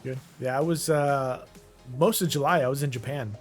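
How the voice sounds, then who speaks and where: A man's voice: medium pitch, moderate pace, soft background music. A man in his thirties, a streamer in casual chat while gaming, laid-back, laughing often and trailing off mid-sentence.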